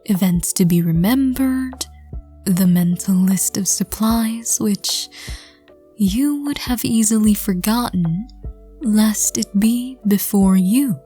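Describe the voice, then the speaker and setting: A woman reading scripted second-person story narration in a soft, gentle, hushed voice. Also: mid-range voice, slow pace, soft background music. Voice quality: soft, breathy. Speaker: narrator and audio roleplay reader.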